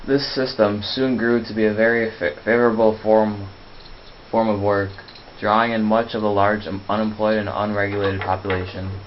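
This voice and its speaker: A man's voice: lowish voice, flat delivery, moderate pace. A man reading scripted educational narration, sometimes stumbling over his words. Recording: dull, lo-fi, faint hiss.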